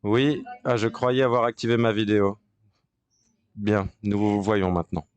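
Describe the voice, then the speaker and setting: A man interpreting a panel discussion in real time from an interpreter's booth, with a fairly low voice. He talks in halting bursts, pausing and restarting as he keeps up with the speakers. The sound is dry and close, with no room around it. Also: fairly even intonation, smooth, dry recording. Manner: halting delivery with frequent pauses and restarts. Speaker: conference interpreter.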